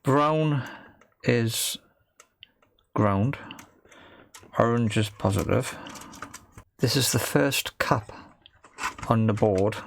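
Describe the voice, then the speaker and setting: A man's voice: mid-range voice, extremely slow, vocal fry, pauses often. A man giving casual, tentative and self-deprecating commentary as he does a hands-on repair, muttering his thoughts aloud. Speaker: amateur repair video maker. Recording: faint background noise with workbench clicks.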